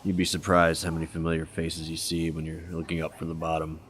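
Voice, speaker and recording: A cocky, wisecracking detective, casual and quick with a joke. His voice sits low. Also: monotone, fairly bright tone. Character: young male detective, cocky ex-undercover cop.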